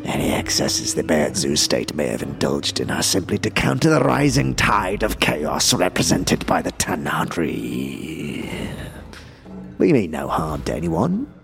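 A very deep, gravelly fiend, smug and grandiose. His delivery swoops theatrically through florid, formal phrases in audio drama style, with faint music underneath. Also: very animated, measured pace, heavily acted. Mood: self-righteous.

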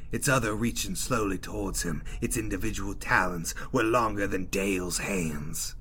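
Guy reading a creepy story, low and hushed, dramatic and careful. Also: slight background noise. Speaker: podcast co-host reading a story. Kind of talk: dramatic scripted horror narration.

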